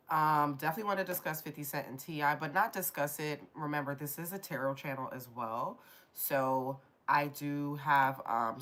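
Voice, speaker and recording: A woman's voice: low-pitched, fairly bright tone. A woman with a stuffy, nasal voice from a cold, chatting casually as she hosts a live tarot stream. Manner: chatty, rambling, addresses viewers directly. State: getting over a cold.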